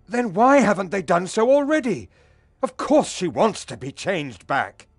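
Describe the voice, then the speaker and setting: A proud old patriarch, with a fairly high male voice and very animated, emphatic delivery. He has the fiery dignity of a fantasy game lord, over faint music. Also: unhurried, creaky, dry recording, exaggerated voice. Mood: indignant. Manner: formal, emphatic. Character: proud, hot-tempered old father and clan leader.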